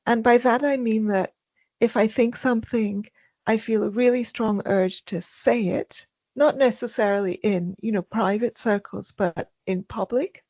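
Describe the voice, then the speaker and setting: A soft-spoken woman being interviewed, speaking haltingly with many ums and restarts. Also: fairly high pitch, fairly even intonation, no echo, highs cut off. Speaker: podcast guest. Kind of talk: casual interview conversation.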